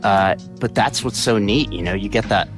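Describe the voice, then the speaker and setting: A man in his forties with a deep voice, chatting loosely as an interview guest with plenty of fillers. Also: moderate pace, over music. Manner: rambling, relaxed, many fillers. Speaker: interview guest, musician and actor. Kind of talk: casual interview chat.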